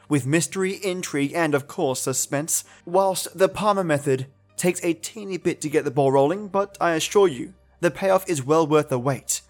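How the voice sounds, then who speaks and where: A man introducing old radio episodes as a podcast host, in a playful, theatrical way. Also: on the high side.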